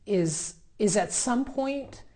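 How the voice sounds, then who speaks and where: A woman's voice: mid-range voice, lively intonation, slow pace, mellow. A woman hosting a conversational interview, speaking in an affirming, reflective way as a religious sister.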